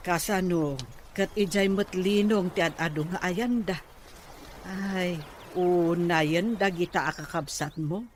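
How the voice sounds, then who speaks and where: A man's voice: high-pitched, fairly even intonation, slow, slight background noise. A man playing a nosy, sympathetic village aunt in an Ilocano radio drama. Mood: sympathetic, meddling.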